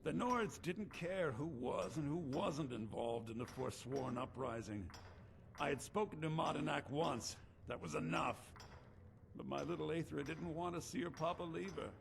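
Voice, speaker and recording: A man's voice: fairly high pitch, gravelly, a bit bright, slight background noise. An actor voicing a grieving, bitter middle-aged rebel prisoner in a video game, telling his story mournfully.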